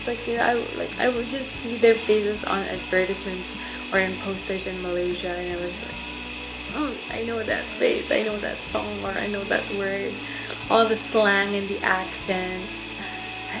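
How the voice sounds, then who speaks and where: A young woman from the Philippines talks casually into a phone camera late at night. She sounds sleepy and wanders through her thoughts with many hesitations, at a medium pitch, and clear music plays behind her. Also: poor audio. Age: young adult. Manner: rambling, hesitant with many fillers. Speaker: vlogger. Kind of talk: casual webcam vlog.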